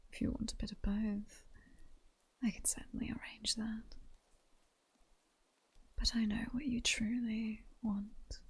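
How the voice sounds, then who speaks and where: A woman playing a seductive, mocking vampire queen in an ASMR roleplay, with a British accent, speaking in a breathy, teasing, commanding whisper. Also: medium pitch, mellow, exaggerated voice, poor audio. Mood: coaxing, possessive. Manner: slow, teasing, sultry and commanding. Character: seductive, mocking vampire queen toying with her captured hunter.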